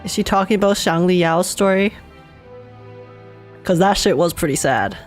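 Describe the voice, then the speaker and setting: A young woman livestreamer giving casual, expressive, gushing reactions to a game as she plays. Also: fairly low pitch, moderate pace. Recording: game music behind the voice. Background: game music.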